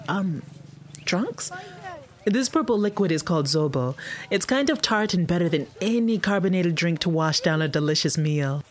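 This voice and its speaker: A woman's voice: lowish voice, natural voice. A woman playing a welcoming Nigerian mother in an audio drama, explaining things in a friendly way.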